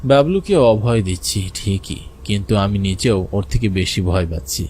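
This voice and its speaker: A man's voice: lowish voice, animated, normal speed, darkish tone, slight background noise, lightly acted. A man playing a frightened village fisherman, narrating a cartoon horror story in the first person with suspense and anxious urgency. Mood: nervous, suspenseful.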